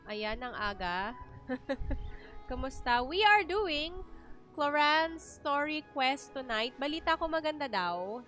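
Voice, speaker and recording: A woman's voice: high-pitched, sing-song, takes it slowly, a bit bright, soft background music. A woman with a Filipino accent hosting a game livestream, chatting casually and thinking aloud as she switches between two languages.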